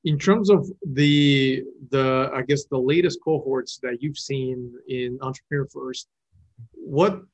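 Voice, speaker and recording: A man from Kazakhstan asks questions in a relaxed, rambling way, stacking fillers and restarts. His voice sits in a middle pitch and comes through a slightly dull remote line. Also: takes it slowly. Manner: loose, lots of fillers and restarts. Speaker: interviewer, investor. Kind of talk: casual interview.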